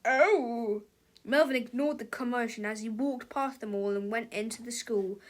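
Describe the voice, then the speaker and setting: A woman's voice: a little high, steady tone, normal speed, very noisy. A woman reading a children's storybook aloud as narrator, in a dramatic, theatrical manner.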